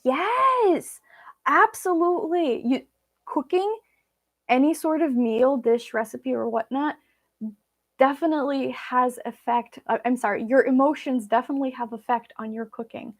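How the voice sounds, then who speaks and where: Woman, high voice, native Russian speaker, from Belarus, chatty, informal, live vlog host. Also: measured pace. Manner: chatty, informal, addressing viewers directly. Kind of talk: casual live Q&A chat.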